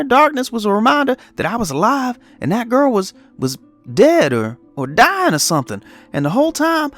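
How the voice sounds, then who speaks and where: A man voicing a guilt-ridden trucker who stammers and pleads through a horror story confession. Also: very high-pitched. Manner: stammering, repeating words, pleading. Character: distraught guilt-ridden male trucker confessing a fatal accident. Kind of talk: dramatic horror story monologue.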